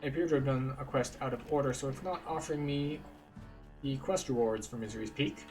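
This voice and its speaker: A man's voice: medium pitch, fairly quick, darkish tone, distant mic, soft background music, rough recording. A man giving casual, explanatory commentary as a guide through a video game playthrough. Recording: faint noise behind voice.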